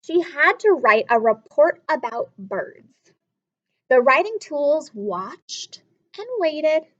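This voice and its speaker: Slow, high-voiced woman teaching young children. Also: muffled recording. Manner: expressive, instructive, addressing young listeners directly. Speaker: elementary school teacher. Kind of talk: children's story read-aloud with teaching questions.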